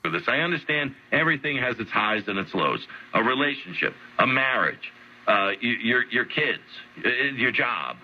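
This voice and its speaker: A man's voice: medium pitch, big pitch swings, normal speed. A man delivering an earnest monologue, heard through the speaker of a shortwave radio. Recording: dull noisy shortwave radio playback.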